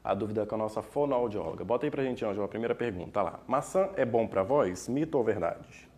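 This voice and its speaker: A Brazilian TV host with a mid-range voice who briskly leads the show from one segment to the next. Questions are read out slowly and clearly. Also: mid-range voice, expressive, darkish tone. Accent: Brazilian Portuguese. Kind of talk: hosting a TV segment.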